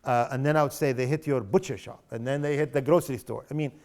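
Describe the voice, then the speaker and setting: A man's voice: mid-range voice, fast talker, darkish tone. A middle-aged man from South Lebanon giving a public lecture in an impassioned, sarcastic way, with rhetorical questions to the audience. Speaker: guest speaker.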